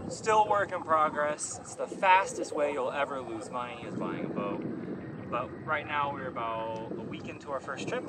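A man casually co-hosting a sailing vlog outdoors on a boat deck, in an upbeat, easygoing way. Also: mid-range voice. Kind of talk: casual chat to camera. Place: outdoors on a sailboat deck on a river. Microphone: camera mic from a few feet away. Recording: wind noise, distant camera mic.

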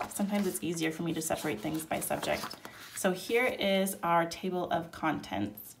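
A woman with a cold who sounds nasal, walking through a homeschool curriculum in a relaxed, chatty way at a table. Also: lowish voice, a little hiss or hum. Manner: relaxed, chatty, explaining step by step. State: sick, nasal. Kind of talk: casual product flip-through. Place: indoors at a table, hands-only overhead camera.

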